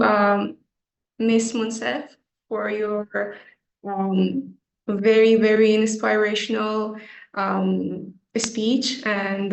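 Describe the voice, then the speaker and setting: A young woman with an Afghan accent, an audience member speaking earnestly and hesitantly as she asks a question. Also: mid-range voice. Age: young adult. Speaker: student asking a question. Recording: roomy echoing video call.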